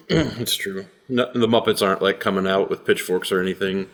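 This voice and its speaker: Deep-voiced, deadpan middle-aged man. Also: moderate pace, gravelly. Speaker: podcast panelist. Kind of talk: casual chat.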